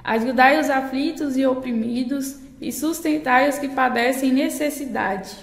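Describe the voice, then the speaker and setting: A woman's voice: sits high, a little slow. A woman reading liturgical intercessions as a prayer leader. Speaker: prayer reader. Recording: echoey hall with long reverb.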